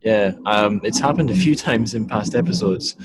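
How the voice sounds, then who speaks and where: A man hosting an interview podcast, talking in a relaxed, chatty way with hedging fillers. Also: on the low side, moderate pace, background noise. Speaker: podcast host. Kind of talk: conversational interview.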